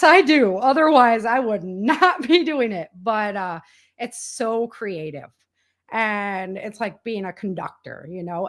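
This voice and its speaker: A woman with a medium-pitched voice talks casually as an interview guest. She comes across as earnest and enthusiastic, explaining things in a conversational way. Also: fairly bright tone. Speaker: interview guest, author and audio drama producer.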